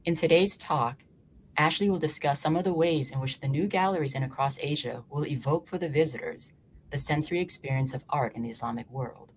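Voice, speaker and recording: A woman's voice: deep voice, fairly quick. A woman hosting an event, reading a scripted, formal introduction of a speaker. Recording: faint background noise.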